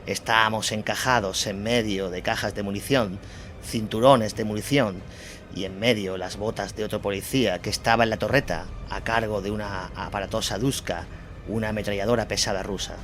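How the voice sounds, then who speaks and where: A man's voice: fairly low pitch, fairly even intonation, a little fast, a bit bright, slight background noise. A man reads scripted first-person narration of a war story. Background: instrumental music.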